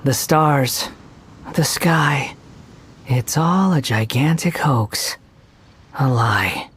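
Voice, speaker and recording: A man's voice: medium pitch, very slow, a bit bright, slight background noise. A man voicing a haughty young villain in an anime video game, mocking, sneering and theatrical. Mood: grave, dismissive. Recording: game music behind. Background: game music.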